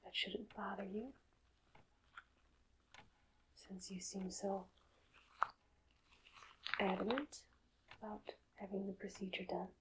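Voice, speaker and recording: A woman whispering softly and breathily, playing a doctor in an ASMR roleplay with slow, deliberate phrasing and pauses. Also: mid-range voice, fairly even intonation, very noisy.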